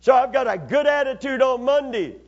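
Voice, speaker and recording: A man's voice: extremely high pitch, lively intonation, a little fast, highs cut off. A man preaching a sermon as a pastor, calling on the congregation for amens and responses. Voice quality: raspy after Sunday preaching. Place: church sanctuary.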